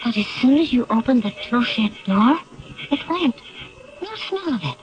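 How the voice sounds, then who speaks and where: A woman's voice: on the high side, very animated, normal speed. An actress playing an anxious, loyal woman confidante in a 1970s radio drama, speaking earnestly and dramatically. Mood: uneasy. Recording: dull lo-fi 1970s radio broadcast.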